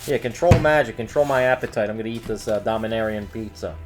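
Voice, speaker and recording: A man's voice: on the low side, quick pace. A man co-hosting with jokey, casual banter in a playful, exclamatory way. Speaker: co-host. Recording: music bed under the voice. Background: music.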